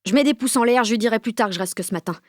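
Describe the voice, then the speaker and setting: A woman's voice: on the high side, racing, slight background noise, lightly acted. An actress with a French accent playing a cocky sixteen-year-old girl who narrates her day in slangy, sweary audio fiction. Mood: cocky, brash. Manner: slangy, sweary, punchy inner monologue. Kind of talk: first-person audio fiction monologue.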